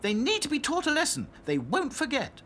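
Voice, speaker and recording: A man voicing a brisk, bossy female rag doll in a children's storybook. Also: very high-pitched, huge pitch swings, bright, forceful tone, a little hiss or hum, voice pushed up, full character voice. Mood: eager.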